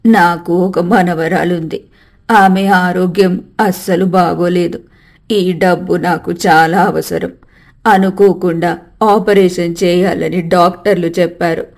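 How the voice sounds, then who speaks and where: A woman's voice: lowish voice, fairly even intonation, slow, voice pushed down. A woman voicing a desperate, apologetic old grandmother in a storybook tale, pleading and sorrowful. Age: older.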